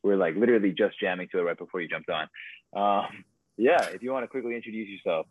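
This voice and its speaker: Guy hosting a chill podcast, kinda low voice, sounds thin like over a phone, lots of ums. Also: fast talker. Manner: relaxed, lots of ums and fillers. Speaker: podcast host. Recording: tinny phone-like dry audio.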